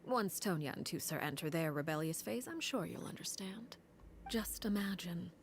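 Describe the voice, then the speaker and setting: Composed, commanding lady, low-pitched. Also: expressive, slight background noise. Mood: dry teasing. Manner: measured, formal, dryly teasing. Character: composed, commanding, slyly menacing woman leading a household. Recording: background music under the voice.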